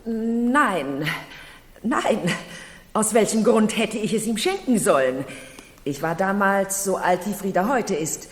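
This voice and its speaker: An actress playing a vain, excitable middle-aged marchesa in a German radio play, theatrical and quick to take offence. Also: female voice, medium pitch. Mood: insistent. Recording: echoey hall with long reverb.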